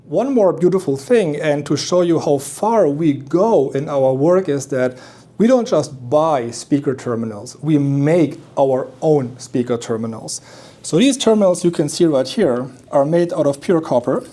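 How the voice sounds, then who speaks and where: A man giving a deliberate, technical product demonstration as a company representative, explaining features with a sales pitch. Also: animated, mellow. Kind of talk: explanatory product presentation at an audio show.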